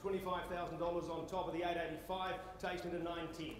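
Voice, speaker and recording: An Australian man calls a property auction in a high, projecting voice. His delivery is theatrical yet formally courteous. The sound is heavily reverberant, with faint background noise in a conference hall. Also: flat delivery, fast talker. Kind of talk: live property auction calling. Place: on stage in a large indoor conference hall.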